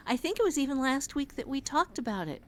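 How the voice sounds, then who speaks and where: A woman talking casually on a podcast as an author and writing teacher, telling her story in a halting, reflective way. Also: sits high, very animated.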